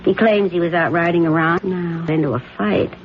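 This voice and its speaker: An actress playing an attentive, gently worried wife chatting conversationally in a 1970s radio drama. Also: female voice, lowish voice, steady tone, normal speed, lightly acted. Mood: matter-of-fact. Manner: conversational, inquisitive. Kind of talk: 1970s radio drama acting, domestic dialogue. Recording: dull, faintly noisy 1970s radio recording.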